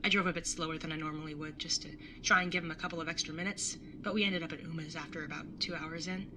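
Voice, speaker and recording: A woman's voice: deep voice, fairly even intonation, fast, noisy background, close to an everyday voice. A young woman playing a caring, slightly awkward and wry older sibling in a casual audio drama. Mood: wry, reflective. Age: young adult. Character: awkward, caring older sibling, early twenties, tattooed, teasing. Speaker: narrator and lead character. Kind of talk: audio drama acting with first-person narration.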